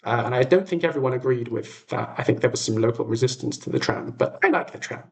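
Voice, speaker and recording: A man's voice: mid-range voice, quick pace, gravelly, a little mellow. A young British man hosting a livestream, chatting casually to viewers in an enthusiastic, whimsical way. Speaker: livestream host.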